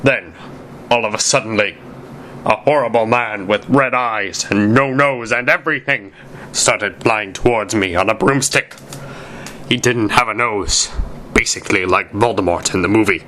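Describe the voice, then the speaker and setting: A man reading a story aloud as narrator, with deliberate, emphatic, amateur dramatic delivery. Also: on the low side, sharp tone, slight background noise.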